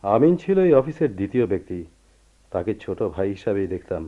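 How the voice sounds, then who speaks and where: An actor playing a paternal, coaxing office boss in a radio play, earnest and persuasive, on a tinny recording. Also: male voice, lowish voice, sing-song, quick pace. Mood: kindly. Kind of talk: measured radio play acting. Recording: tinny narrow-band radio drama recording.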